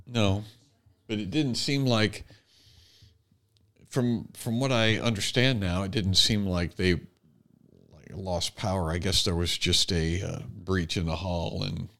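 A man speaks as a co-host in relaxed conversation. His voice is a little low, and he talks off the cuff in everyday phrasing. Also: slow pace, creaky. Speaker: podcast co-host. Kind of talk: casual conversational chat.

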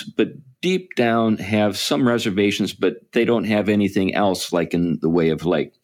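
Low-pitched man, halting, filler-heavy talker, chuckles between points. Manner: halting, many fillers and restarts. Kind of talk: casual discussion.

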